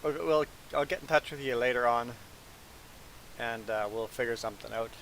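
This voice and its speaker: A man's voice: medium pitch, brisk, vocal fry, poor audio. Sniffly man chatting casually over hiss. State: sniffly nose from congestion. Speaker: live streamer. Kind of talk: casual live stream chat. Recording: steady background hiss.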